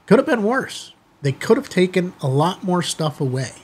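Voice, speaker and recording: A middle-aged American man with a slightly husky voice, talking informally and directly to viewers as a video host. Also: high voice, big pitch swings, moderate pace, darkish tone. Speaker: amateur radio video host. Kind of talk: casual chatty commentary to viewers. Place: small indoor studio office, seated at desk.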